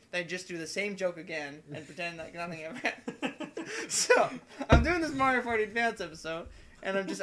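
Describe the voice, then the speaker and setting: A young man in casual, rambling podcast chat with friends, telling stories in an excitable, giggly way and acting out what people said. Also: high voice. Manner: excitable, giggly storytelling with acted-out quotes. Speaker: podcast host and video maker.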